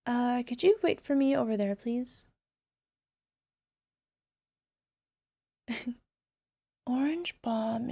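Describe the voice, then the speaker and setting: A woman's voice: on the high side, vocal fry, darkish tone, husky, heavily acted. A woman playing a bubbly young alchemist who turns obsessively lovestruck, in a hesitant, giggly ASMR roleplay manner that slips into whispers. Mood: flustered, busy. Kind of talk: ASMR girlfriend roleplay audio drama.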